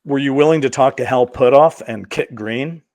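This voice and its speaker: A man's voice: medium pitch, normal speed, a little mellow, lightly acted. A man doing a semi-dramatic cold reading as a probing researcher, asking brief, direct questions. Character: probing male researcher questioning a secretive source.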